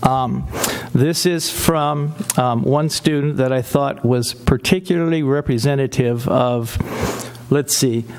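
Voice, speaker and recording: A man's voice: medium pitch, takes it slowly. An older man in his sixties, a city council member, reading a child's letter aloud at a meeting with amused asides, speaking into a desk microphone in a council chamber. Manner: warm, amused asides between read lines. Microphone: desk gooseneck mic.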